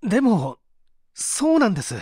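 A man plays a cornered male suspect in a crime audiobook. His voice rides high and swings widely as he pleads and protests, agitated and defensive, in a dry recording. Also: huge pitch swings, moderate pace, a little mellow, breathy edge, no room sound, full character voice. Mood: anguished protest. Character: distressed, defensive male murder suspect under interrogation. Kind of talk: dramatic audiobook reading.

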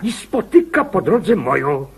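A man's voice: high voice, big pitch swings, a little slow, vocal fry, husky, exaggerated voice. A man acting in a radio comedy as a jealous, overprotective husband, stammering, fussy, pompous and self-righteous. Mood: excited, proud.

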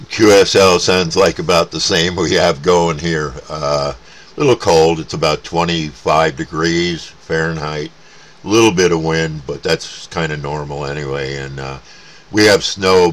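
A man's voice: a bass voice, fairly bright tone. An American man chatting casually as a CB radio operator, using radio jargon. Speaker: CB radio operator and channel host. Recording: faint background noise.

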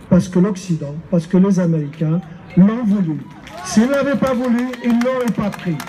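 An older man from Egypt giving an emphatic speech at an outdoor street rally into a handheld mic, often pausing on fillers. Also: high-pitched, dark tone, a little hiss or hum, poor audio. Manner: emphatic, hesitant with many fillers. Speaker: rally speaker. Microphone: handheld microphone.

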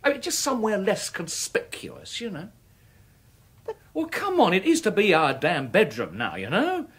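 An actor playing a callous, sarcastic, bullying husband with a British accent in a radio drama. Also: male voice, high voice, huge pitch swings, normal speed, slightly rough, full character voice. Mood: coaxing, amused. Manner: hectoring, sarcastic, clipped repetitions. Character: callous, bullying, sardonic husband, impatient and scheming.

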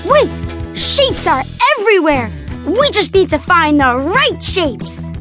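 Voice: very high-pitched, sing-song, takes it slowly, over music, full character voice. A child-voiced performer playing an eager, friendly little boy who gives enthusiastic praise and instructions in an educational cartoon game. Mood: urgent.

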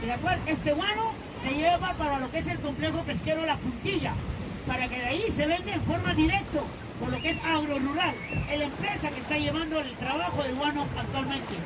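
Peruvian boat tour guide, high-pitched, explanatory live commentary, heavy engine and crowd noise. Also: brisk, distant mic. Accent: Peruvian Spanish. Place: outdoors on an open tour boat. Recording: loud boat motor noise, crowded open-air recording. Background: motorboat engine, people around.

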